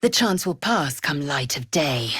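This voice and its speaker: A woman playing a proud, fierce githyanki warrior in a video game, with a husky, raspy voice and a clipped, formal, commanding way of talking. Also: deep voice, very animated, unhurried, a bit bright, no echo, clean studio sound, full character voice. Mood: stern, resolute. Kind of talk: video game character voice lines.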